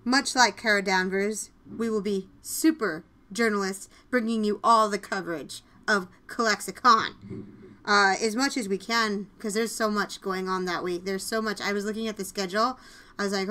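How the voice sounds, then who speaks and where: Bright-voiced, excitable woman podcast host. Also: mid-range voice, normal speed. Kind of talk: casual podcast chat with announcements.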